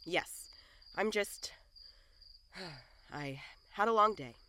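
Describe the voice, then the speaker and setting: Woman doing a tired fairy king for an audio drama, slow n halting, kinda low, unsure of himself. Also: lively intonation, sharp tone, slight background noise, full character voice. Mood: weary. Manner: halting, stammering. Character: hesitant, weary fairy king, male, out of his depth. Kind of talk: amateur audio drama acting.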